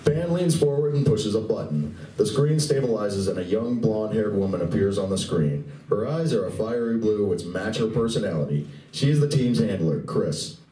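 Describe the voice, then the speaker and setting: A man narrates a radio play live in a wry, expressive way. His pitch is very lively as he reads the script and adds asides. He sounds distant in a reverberant room, with faint noise. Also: normal speed, few pauses. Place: live convention event room.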